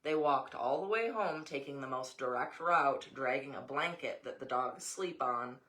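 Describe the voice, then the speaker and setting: A woman's voice: a bass voice, expressive, moderate pace, a bit bright. An American woman reading a story aloud, with casual asides along the way. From Montana, USA.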